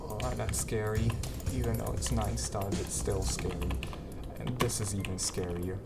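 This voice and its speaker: A man giving an off-the-cuff video game walkthrough in a low-key, hesitant way, often trailing off. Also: steady tone, measured pace, gravelly, loud music behind the voice. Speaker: gamer giving a guide.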